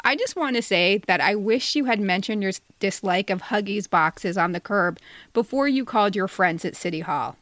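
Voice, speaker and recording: A woman's voice: medium pitch, expressive, normal speed, fairly bright tone, no room sound. Wry woman in her fifties, dry close recording. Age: 50s. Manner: wry, mock-polite. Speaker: podcast co-host and essayist. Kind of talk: reading a personal satirical letter.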